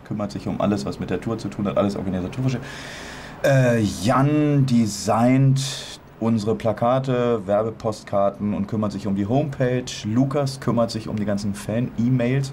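A man in his thirties talks in a relaxed, chatty way, his voice on the low side. He is miked on a lapel in a backstage room, with faint room noise behind. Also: moderate pace. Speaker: singer and band founder. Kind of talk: casual interview.

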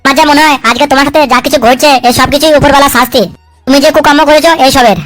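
Woman as squeaky-high, cheeky cartoon sidekick. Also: fairly even intonation, fairly bright tone, soft background music. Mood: argumentative. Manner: chattering, argumentative, coarse, quick with excuses. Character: wily, cheeky male sidekick and schemer, foul-mouthed. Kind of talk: comic cartoon dub dialogue.

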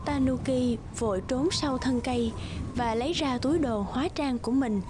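A woman's voice: sits high, normal speed, background noise. A woman reading scripted folktale narration as a storyteller. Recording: music bed under narration.

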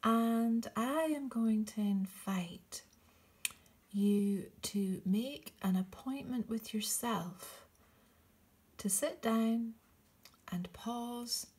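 A woman leading a guided meditation in a soft, breathy, near-whispered voice, gently encouraging and soothing, with long pauses. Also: mid-range voice.

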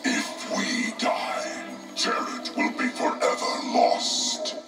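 An actor voicing a solemn construct of many dead souls in a fighting game, speaking formally as "we" through layered ghostly processing. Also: male voice, extremely slow, distant mic. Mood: solemn, defiant. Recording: echoing game audio under loud music.

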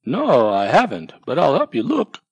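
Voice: mid-range voice, huge pitch swings, normal speed, gravelly, darkish tone, slightly rough, no room sound, highs cut off, heavily acted. A narrator voicing an eager, helpful little animal friend in a children's storybook, emphatic and keen.

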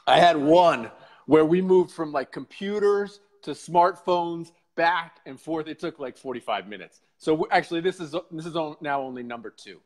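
A man in his forties hosting a casual live podcast interview over a video call, jokey and quick to laugh, speaking from his living room into an earbud mic. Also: a little high. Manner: jokey, laughs often, animated. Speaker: podcast host. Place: living room at home, indoors. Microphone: earbud headset mic on a video call.

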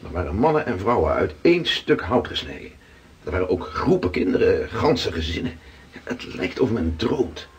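An actor playing a weathered seafarer in a radio drama, telling his adventures slowly and with awe in a rough voice. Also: sits low, very animated, full character voice. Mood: awed, reflective. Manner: slow, dramatic storytelling. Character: weathered Dutch seafarer Gerrit, awed storyteller, around 1600.

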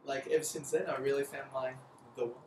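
A man's voice: mid-range voice, level tone, fast talker, a little mellow. Young Australian guy, roomy and distant. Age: young adult. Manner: rambling, jokey, laughs often, many fillers. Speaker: vlogger. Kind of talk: casual couple vlog intro. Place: apartment by big windows, indoors. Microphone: camera mic from a few feet away. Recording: roomy, distant, slight noise.

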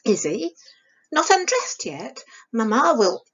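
A woman puts on a girlish, worried younger sister from a storybook. She sounds high, fretful and prim, earnestly cautioning. The recording is a little muffled. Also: very animated, takes it slowly, fairly bright tone, husky, exaggerated voice. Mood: surprised. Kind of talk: audiobook character voice.

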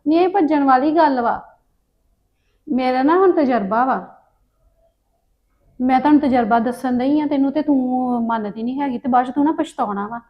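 A woman's voice: sits high, moderate pace, pauses often, muffled recording, close to an everyday voice. An actress playing a meddling older woman who lectures a younger wife with stern advice. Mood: lecturing. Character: meddling elder woman giving unwanted advice.

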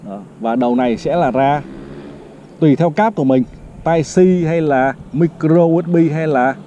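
A man's voice: mid-range voice, dark tone, a little hiss or hum. A middle-aged man on a city rooftop with a lapel mic, chatty, walks through a product review step by step with frequent fillers. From Vietnam. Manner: chatty, explains step by step, fills with "đó" and "vâng". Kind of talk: casual product review vlog. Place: rooftop terrace outdoors in a city. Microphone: clip-on lapel mic. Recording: slightly dull lo-fi outdoor sound.

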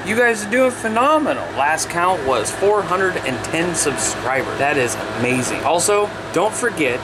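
A man in his thirties hosts a relaxed hobby vlog from his garage workshop, speaking straight into a close webcam in a chatty, loose way that often trails off and corrects itself. His voice sits a little high for a man. A loud, steady air-conditioning hum fills the background. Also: moderate pace. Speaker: CB radio channel host. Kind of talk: casual vlog talk to camera. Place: garage workshop with radio gear.

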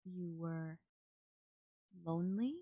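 Casting: actress as female android servant for audio roleplay, polite and emotionless, lowish voice, very measured and halting, dry close sound. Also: dry recording, phone-quality sound. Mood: puzzled, questioning. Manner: formal, stilted, halting, literal delivery. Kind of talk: scripted audio roleplay, ASMR.

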